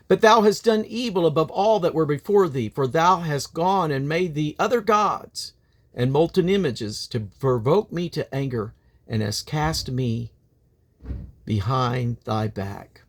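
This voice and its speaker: A man reading from the King James Bible in a solemn, deliberate manner, with emphatic phrasing and drawn-out endings. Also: a little high. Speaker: Bible reader.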